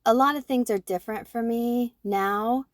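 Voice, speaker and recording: A woman in her forties, co-hosting a podcast, talking through her own thoughts in an animated way and often checking in with "right?". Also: on the high side, moderate pace, no echo, clean studio sound. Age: 40s. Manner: animated, self-reflective, fond of tag questions like "right?". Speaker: podcast co-host. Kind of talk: casual conversation.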